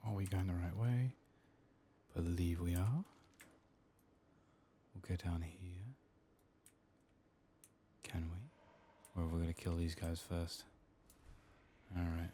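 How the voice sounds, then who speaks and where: A man giving soft-spoken ASMR gameplay commentary, murmuring quiet asides and often slipping into a whisper. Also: low voice, steady tone, takes it slowly, pauses often, a little hiss or hum. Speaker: host of a gameplay channel.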